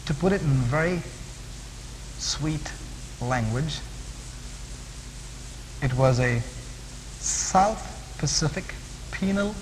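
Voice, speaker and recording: Animated high-pitched male preacher in reverberant hall. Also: slow pace, long pauses. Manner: emphatic, repeats and stacks phrases for emphasis. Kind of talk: expository sermon with explanatory asides. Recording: reverberant hall with audible hiss.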